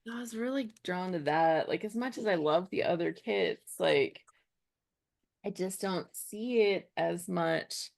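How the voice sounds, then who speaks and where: A woman talks casually on a live craft stream. Her voice sits low and has a gravelly edge. She laughs often and trails off while sorting through her materials. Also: unhurried, a little mellow. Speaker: co-host of a live scrapbooking crop.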